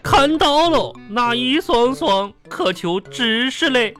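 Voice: high-pitched, animated, takes it slowly, fairly bright tone, a faint crowd, exaggerated voice. Actor doing a fussy schoolteacher, drawly and scolding, radio comedy, music behind. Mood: fussy, upbeat. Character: fussy, exasperated schoolteacher with drawn-out folksy speech. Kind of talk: comedy radio sketch acting.

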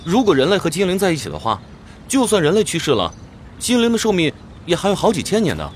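A man playing a naive, outspoken young human adventurer in a fantasy radio drama, boyish and expressive. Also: sits high, fast talker, slight background noise. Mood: incredulous.

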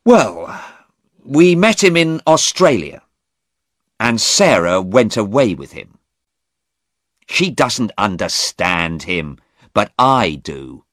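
A man's voice: on the low side, big pitch swings, slow pace, pauses often, fairly bright tone, dry recording, studio quality, voice pushed up, exaggerated voice. A man doing a flustered, evasive young man. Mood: defensive. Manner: hesitant, defensive.

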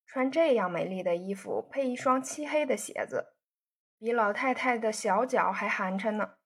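A woman reading a storybook voices a spoiled young rich man who teases his sweetheart with easy banter. The tone sits fairly high with a husky, breathy edge. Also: moderate pace. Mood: teasing. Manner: bantering, coaxing. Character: teasing young man, playful rich son.

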